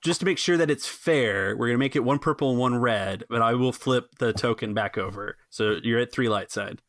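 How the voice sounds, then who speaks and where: A man's voice: mid-range voice, normal speed, a bit bright, no room sound, studio quality. A man acting as game master, narrating and explaining a tabletop game in an easygoing, casual way.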